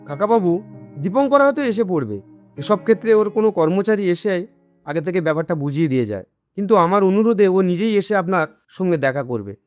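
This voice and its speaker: High-voiced, animated, considerate younger man. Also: brisk. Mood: reassuring. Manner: polite, reassuring. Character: considerate, reassuring younger family friend of an old man. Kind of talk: Bengali radio drama acting. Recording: dull lo-fi audio with background music.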